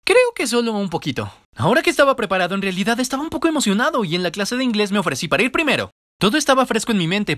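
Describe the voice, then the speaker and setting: A man narrating an animated storytime video in an expressive, comedic and self-deprecating way. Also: high-pitched, dry recording, clean studio sound.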